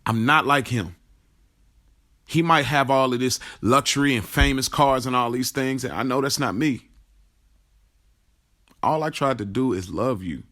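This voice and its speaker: A man's voice: medium pitch, unhurried, long pauses. An actor playing a wounded, angry young man in an audio drama monologue, emphatic and profane, repeating his phrases. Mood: hurt, reproachful. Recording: loud music behind the voice. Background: music.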